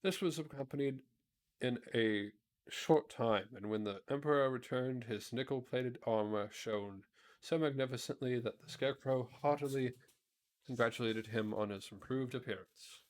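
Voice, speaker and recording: A man narrating a children's storybook aloud, a little halting as he reads. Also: mid-range voice. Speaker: narrator, streamer reading aloud.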